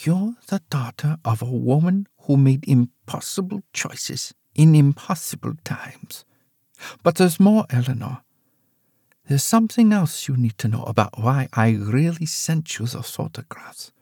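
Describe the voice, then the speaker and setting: Guy putting on a grave quiet 90s-something grandma type, steady and resigned, lively pitch, dry mic. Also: measured pace, husky, no echo. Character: elderly woman, ninety-three, sharp and guarded.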